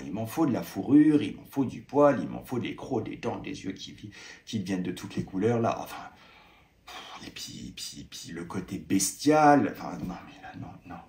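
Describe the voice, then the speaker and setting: A young man talks casually about new books, reading summaries and breaking off into playful, exaggerated asides. His voice sits fairly low. The room around him is noticeably echoey. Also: a little mellow. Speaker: book vlogger. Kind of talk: casual book review, reading blurbs aloud.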